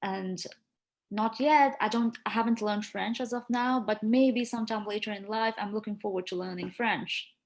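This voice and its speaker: A young woman from India whose first language is Marathi, answering interview questions in a sincere, well-spoken way with the odd pause. Her pitch sits in a middle range. She keeps an even, casual conversational tone. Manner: earnest and articulate, with small hesitations. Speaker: interview guest, medical student.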